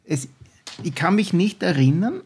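A man's voice: high-pitched, very animated, a little slow, darkish tone, breathy edge. An older man with an Austrian accent, a guest chef talking enthusiastically in a podcast chat, with many restarts.

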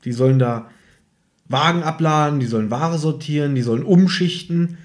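A man's voice: a little high, moderate pace. A man hosting a podcast in speech, chatting casually about radio plays in a teasing, nitpicking way. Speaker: podcast host.

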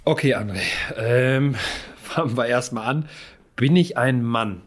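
A man's voice: medium pitch, measured pace. A man playing a guessing game with a friend, thinking aloud with hesitant fillers and laughing. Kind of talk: casual game chat.

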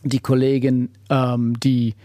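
A man's voice: mid-range voice, flat delivery, mellow, no room sound. A man from the United States with an American accent, speaking German as a second language, searching for words and restarting often as an interviewee. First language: English. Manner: halting non-native German, searching for words, many restarts. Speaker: human rights investigator. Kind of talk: interview conversation.

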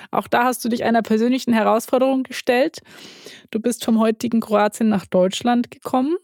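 A woman's voice: a little high, a little mellow, no echo, studio quality. A woman hosting an interview, asking questions attentively and giving frequent affirming murmurs. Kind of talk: podcast interview.

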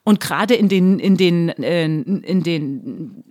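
A woman politician answering interview questions in a measured, explanatory way, pausing often with fillers. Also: lowish voice, darkish tone. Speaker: politician running for a district seat.

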